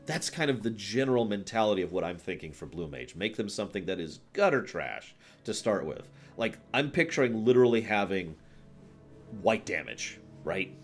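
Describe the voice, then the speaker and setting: Low-voiced man explaining games on a livestream. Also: expressive, moderate pace, soft background music. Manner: emphatic, explanatory, checks understanding with short tag questions. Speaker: livestream host. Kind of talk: casual livestream chat about video games.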